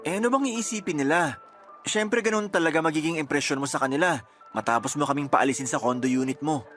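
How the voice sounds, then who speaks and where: An actor playing a placating, pleading boyfriend in a radio drama. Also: male voice, on the high side, fast, a bit bright, soft background music, close to an everyday voice. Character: pleading, placating boyfriend who sides with his family.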